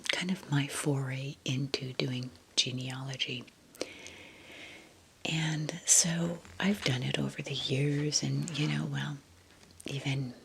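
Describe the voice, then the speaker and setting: A middle-aged woman whispering softly into a close mic, rambling gently with fillers in an ASMR style. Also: low voice, slight background noise.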